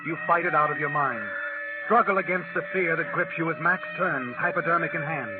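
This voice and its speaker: A man performs as a dramatic, ominous narrator of a 1940s radio thriller. His voice sits a little high over loud music, on a narrow old broadcast recording. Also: brisk, lightly acted. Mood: ominous, urgent. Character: ominous male narrator addressing the heroine.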